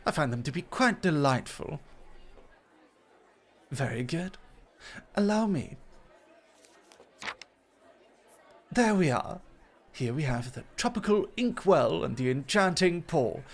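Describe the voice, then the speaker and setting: A man acts out a flirty male dog host in an ASMR roleplay club. His voice sits a little high for a man, and he speaks in polished, formal phrases. He is coy and suave, always teasing his rival and guest. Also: sing-song, unhurried, gravelly, long pauses, heavily acted. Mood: suave, attentive.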